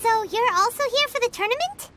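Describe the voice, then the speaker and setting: A woman's voice: very high-pitched, lively intonation, fairly quick. A woman voicing a tiny, blunt, cheeky companion creature in a video game, with a sassy, teasing delivery. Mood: curious. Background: game music.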